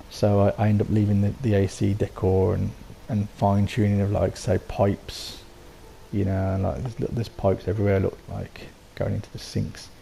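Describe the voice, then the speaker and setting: Croaky low man with gravelly voice. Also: warm tone, a little hiss or hum. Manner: rambling, full of ums and restarts. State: sore throat, croaky. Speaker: game settlement builder. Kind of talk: casual voice-over walkthrough.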